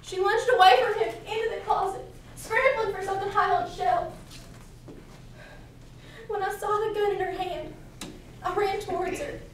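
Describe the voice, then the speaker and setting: A woman's voice: very high-pitched, steady tone, normal speed, pauses often. A woman performing a dramatic monologue as a troubled, earnest fourteen-year-old runaway girl, with intense, theatrical storytelling. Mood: frantic. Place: indoor competition room, distant camera. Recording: echoey room from far off, noisy.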